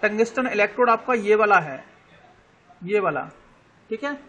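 A male teacher with an Indian accent giving an online lecture, explaining slowly, spelling out words letter by letter and often checking that students follow. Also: high-pitched, expressive, fairly bright tone, dull audio.

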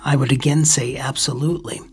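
A man narrating an explanatory screen-recording video as host, in a measured, deliberate manner with a husky, gravelly voice. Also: mid-range voice, fairly even intonation, soft background music. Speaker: ham radio video host.

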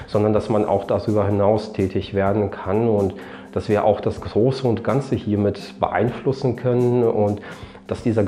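Man in his thirties, low mellow voice, earnest interview answers, lapel mic. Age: 30s. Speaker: nurse and team coordinator. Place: indoors before a plain backdrop.

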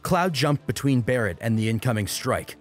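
A man's voice: mid-range voice, animated, normal speed, over loud music. A man narrating an action story as an audiobook, in a dramatic scripted style.

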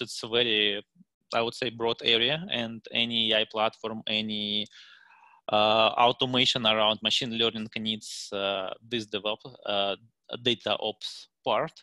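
A man in his thirties with a Russian accent, giving a meetup presentation over a headset on a video call from a room at home, halting with many fillers and restarts. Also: medium pitch, bright, forceful tone, no room sound. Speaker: guest speaker.

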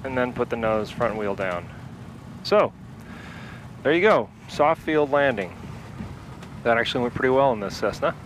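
A man hosting a flight simulator video, calmly talking through each step aloud as he flies from a home cockpit, speaking into an aviation headset. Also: medium pitch, moderate pace, pauses often, a little hiss or hum. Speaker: flight sim video host. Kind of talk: casual instructional narration while flying.

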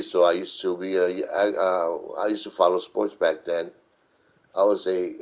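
A middle-aged man giving casual, unhurried live game commentary, repeating himself as he explains. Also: low voice, darkish tone. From New York City, United States. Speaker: video game streamer.

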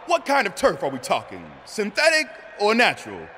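A man playing a bombastic, goofy showman wrestler in a video game, theatrical and booming, drawing out his words. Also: huge pitch swings, moderate pace, sharp tone, slight background noise, full character voice. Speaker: wrestler character in a cutscene. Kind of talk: video game acting, hype promo.

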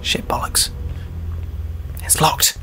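A man's voice: takes it slowly, bright, forceful tone, in a whisper, poor audio. A man giving casual, jokey let's-play commentary, muttering and swearing as he plays. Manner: chatty, jokey, sweary, mutters to himself while playing. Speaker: gaming show host, playing the game.

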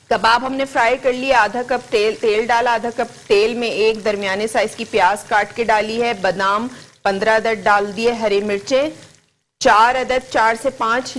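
A woman's voice: on the high side, a bit bright, a little hiss or hum. A woman in her forties with a Pakistani Urdu accent, a TV chef patiently walking viewers through a recipe step by step in a studio kitchen, on a lapel mic. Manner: patient step-by-step instruction, repeats each ingredient. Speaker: TV cooking show chef. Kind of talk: live TV cooking demonstration.

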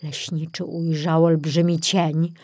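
Female audiobook narrator for children's fiction, lower-pitched, unhurried delivery. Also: level tone, darkish tone, no room sound. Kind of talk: scripted children's story narration.

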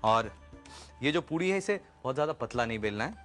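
A middle-aged man hosting a TV cooking show, explaining each step calmly with small pauses, recorded on a lapel mic in a studio kitchen. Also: medium pitch, soft background music. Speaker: TV chef and host. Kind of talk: cooking show demonstration with chat.

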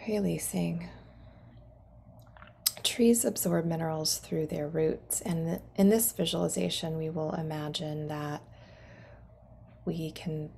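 A woman leading a guided meditation in a soothing, instructing manner, some phrases softening into a breathy near-whisper. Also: low-pitched, fairly even intonation, slow, long pauses, slight background noise.

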